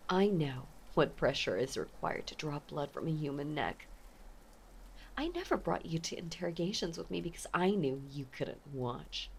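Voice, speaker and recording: A woman playing an obsessive, possessive mafia heiress ex-girlfriend in a dramatic audio roleplay, with intense, menacing, theatrical delivery and a breathy voice. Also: deep voice, animated, moderate pace, a little hiss or hum. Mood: calm menace. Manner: intense, menacing, theatrical, swinging between hush and outburst. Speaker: voice actor.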